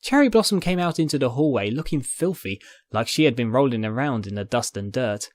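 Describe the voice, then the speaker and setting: A man's voice: mid-range voice, lively intonation, fairly quick, no room sound. A man reading fiction aloud as narrator, in scripted dramatic storytelling style. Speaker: narrator, fan fiction reader.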